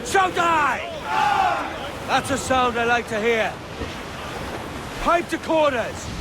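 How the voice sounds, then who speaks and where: A rousing pirate commander in a game, calling orders to his men. The voice is extremely high and deliberately drawn out, amid loud crowd and sea noise. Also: animated, exaggerated voice. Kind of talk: video game acting, shouted.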